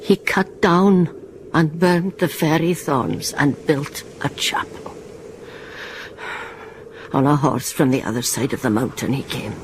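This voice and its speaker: A woman's voice: low-pitched, a little hiss or hum. An actress playing an ancient Welsh village woman who clings to old magic, telling her tale in an incantatory radio drama monologue. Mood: brooding, incantatory. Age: over 90. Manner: slow, incantatory storytelling.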